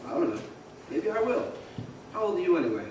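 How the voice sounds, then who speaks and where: A man's voice: sits high, big pitch swings, brisk. An actor playing an eager, restless young man in an old-time radio drama on stage, earnest, boyish and full of exclamations. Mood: playful. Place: performance hall, far from the microphone. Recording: very echoey, distant, noisy stage recording.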